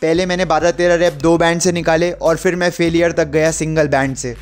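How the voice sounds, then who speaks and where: A man's voice: a little high, flat delivery, fairly quick, faint music behind. A young man giving an explanatory workout voice-over as an instructor, dropping English gym terms into his sentences. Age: young adult.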